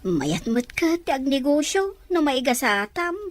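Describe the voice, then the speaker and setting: A woman acting a provincial mother gently persuading her grown child, in an audio drama. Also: high voice, big pitch swings, moderate pace, a little hiss or hum. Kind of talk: Ilocano radio drama acting.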